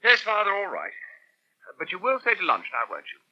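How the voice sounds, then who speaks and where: A man's voice: high-pitched, wildly swooping pitch, a little fast, bright, forceful tone, exaggerated voice. An actor playing a cheeky, argumentative English man in a 1970s radio soap, talking informally. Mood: exasperated. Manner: informal, teasing, exasperated. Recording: dull, lo-fi old radio tape.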